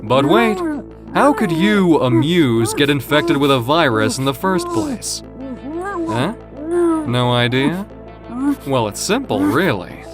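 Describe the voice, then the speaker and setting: Man acting a slow, flamboyant gloating villain. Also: on the high side. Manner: theatrical, taunting, savoring words with drawn-out pauses. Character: cocky, flamboyant male villain gloating over his captive creation. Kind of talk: audio drama acting.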